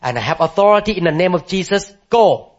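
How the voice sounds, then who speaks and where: A male pastor preaching a sermon to a congregation, emphatic and rising to high, impassioned declarations, calling for responses from the room. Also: expressive, fairly quick. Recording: muffled lo-fi sermon recording.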